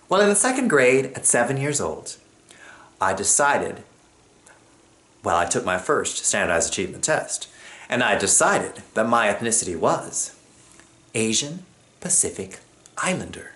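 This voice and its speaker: A man from Jamaica telling a personal story on stage, expressive and acting out what other people say. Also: mid-range voice, measured pace, pauses often.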